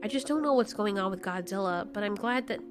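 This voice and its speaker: A woman's voice: mid-range voice, expressive, quick pace, music in the background, natural voice. A woman voicing an earnest, anxious female giant moth monster who pleads and exclaims, in an audio story. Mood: troubled.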